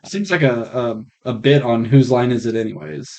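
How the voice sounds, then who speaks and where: A man co-hosting a podcast in casual conversation, recorded on a rough, faulty microphone. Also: medium pitch, normal speed, a little mellow. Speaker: podcast co-host discussing films. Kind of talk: casual chat. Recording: dull, rough malfunctioning podcast mic.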